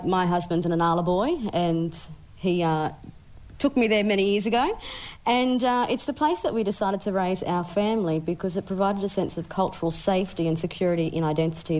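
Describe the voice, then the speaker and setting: A woman's voice: on the low side, steady tone, a little fast, darkish tone, rough recording. A woman with an Australian accent speaking articulately as a guest on a panel. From Brisbane, Australia. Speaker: panelist, researcher.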